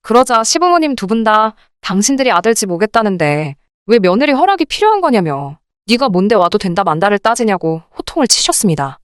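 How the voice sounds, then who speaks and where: A woman reading a scripted first-person story in an expressive, indignant radio drama style. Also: mid-range voice, brisk, few pauses, smooth, dry recording, clean studio sound. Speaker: narrator of dramatized listener stories.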